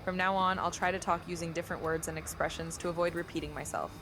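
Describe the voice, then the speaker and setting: A woman's voice: lowish voice, steady tone, quick pace, noisy background. Stiff formal woman reading chat replies. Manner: formal, stiff, repeats "brethren". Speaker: chat-command voice reading messages.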